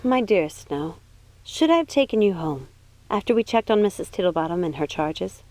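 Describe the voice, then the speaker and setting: A woman's voice: on the low side, animated, normal speed, a little mellow, no echo, lightly acted. A woman voicing a worried, protective young witch in an audiobook, speaking earnestly and with care. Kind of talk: audiobook character voice.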